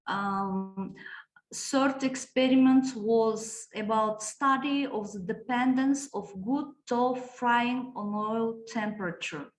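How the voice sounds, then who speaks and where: Slow, halting woman presenter. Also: on the high side. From Kazakhstan. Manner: halting, searching for words, many hesitations. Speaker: teacher presenting a project. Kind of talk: conference presentation. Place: conference room. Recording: echoey hall.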